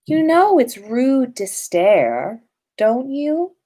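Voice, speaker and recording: Storybook role: dreamy, unfazed schoolgirl witch, played by a female reader, airy, unhurried, lilting. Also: sits high, big pitch swings, mellow, voice pushed up, heavily acted. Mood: mildly chiding. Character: odd, serene, dreamy younger witch schoolgirl. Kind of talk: storybook character voice.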